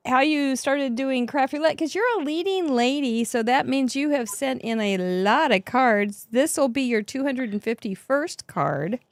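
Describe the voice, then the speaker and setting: A woman's voice: high voice, lively intonation, unhurried, hardly any pauses, no echo, studio quality. A woman co-hosting a livestream, upbeat as she introduces the guests. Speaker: co-host.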